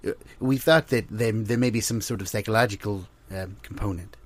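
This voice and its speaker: Man acting flustered apologetic researcher, low voice, stammering, formal and deferential, absurd audio comedy. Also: fairly quick, lightly acted. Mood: flustered, hesitant. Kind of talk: improvised absurdist comedy interview.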